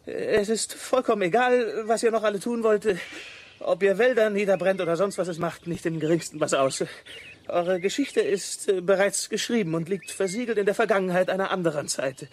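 An actor playing a blunt, cynical space traveler, exasperated and fatalistic, in a radio play. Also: male voice, sits high, fairly quick, slight background noise, close to an everyday voice. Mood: exasperated, scornful.

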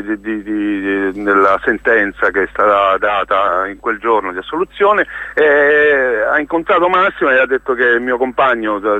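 A man speaking as a theatre guest in a radio interview, telling stories haltingly and repeating words emphatically as he searches for them. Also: medium pitch, dry recording, narrow, tinny audio. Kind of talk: spoken radio interview, storytelling.

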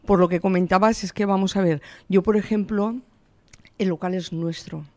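A woman's voice: mid-range voice, steady tone, normal speed, warm tone, no echo. A woman in her sixties from the Valencia region, a shop owner answering interview questions in an earnest, emphatic way. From Valencia region, Spain. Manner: earnest, emphatic, quotes her own words.

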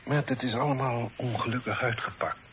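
A man acting in a Dutch radio play as a worldly, authoritative middle-aged father, speaking in a measured, formal way. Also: mid-range voice, steady tone, a bit bright, a little hiss or hum, close to an everyday voice, low-quality recording. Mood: confidential, explanatory. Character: authoritative, worldly father advising his grown son. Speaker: radio drama actor.

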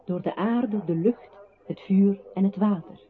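The sound is phone-like and noisy. An actress plays a female radio play narrator who relates a brooding man's story in a measured, literary manner, her pitch in the middle range. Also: natural voice. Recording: thin phone-like sound with clear noise.

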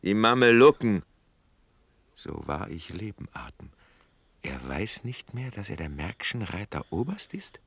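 Blunt, exasperated officer friend for classical radio drama: lowish husky voice, teasing and impatient. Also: male voice, big pitch swings, measured pace, fairly bright tone, no room sound, heavily acted. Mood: exasperated, urgent. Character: impatient, earthy male officer, the dreaming prince's blunt friend.

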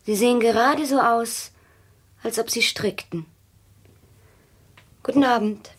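An actress playing a cheeky, defiant mistress, flippant and blunt, in a German radio drama. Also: female voice, on the high side, slow pace, husky. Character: cheeky, defiant, tipsy mistress of an older man.

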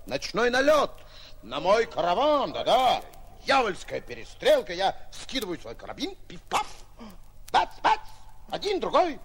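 An actor playing a boastful, excitable, hapless adventurer in a theatrical 1940s radio drama, flustered and stammering, given to grand exclamations. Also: male voice, very high voice, gravelly, a little hiss or hum, full character voice. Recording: old 1940s radio recording, faint music.

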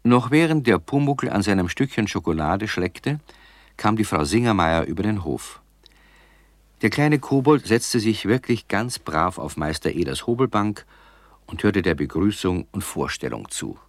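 Narrator for children's radio story: man, very low voice, dry studio sound, measured scripted delivery. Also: expressive, no echo.

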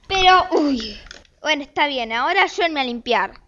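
A woman's voice: high voice, expressive, normal speed, sharp tone, highs cut off. A woman with an Argentine accent playing a bossy, harried housewife and mother who nags her family in an amateur radio drama. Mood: exasperated. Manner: exasperated, commanding.

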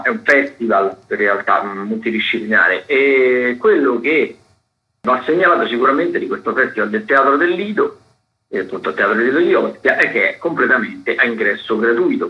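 A man's voice: lowish voice, dull audio. A man presenting a casual events roundup as a theatre critic on radio, chatty and improvised, often correcting himself.

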